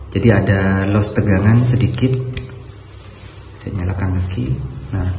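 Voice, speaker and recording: Man, low-pitched, casual product reviewer, explains step by step, echoey room, some background noise. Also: mellow.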